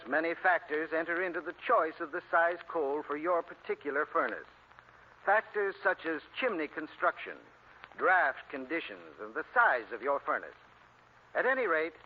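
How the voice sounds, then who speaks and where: Male spokesman for an old radio commercial: scripted, fairly high voice, muffled recording. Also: a little hiss or hum. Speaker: advertising spokesman, home heating expert. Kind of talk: scripted 1940s radio advertising pitch.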